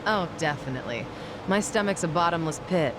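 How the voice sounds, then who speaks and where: A woman's voice: low voice, animated, fairly quick. An actress playing a cool, tough, pragmatic gang boss in a video game, curt and guarded, with noise behind her. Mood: easy. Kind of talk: English video game voice acting.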